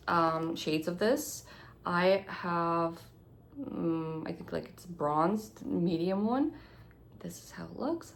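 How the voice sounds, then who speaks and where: A young woman chats to camera about her beauty favorites in a somewhat low voice. She is stuffy and nasal from a cold, and she rattles along casually, sometimes sinking to a near-whisper. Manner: chatty, hurried, drops to near-whispers at times. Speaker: beauty vlogger. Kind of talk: casual product review to camera.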